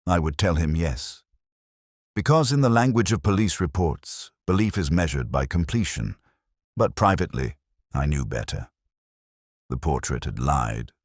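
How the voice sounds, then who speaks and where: A man playing a melancholy, reflective police detective who narrates his case in a measured, literary manner, like a radio mystery drama. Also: sits low, dry recording, clean studio sound, exaggerated voice. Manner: brooding, literary, measured storytelling.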